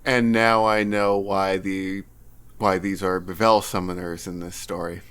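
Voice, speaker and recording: A man's voice: sits low, level tone, a little slow, a bit bright, clean tone. Skeptical man co-hosting a chat. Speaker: podcast co-host. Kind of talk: casual chat.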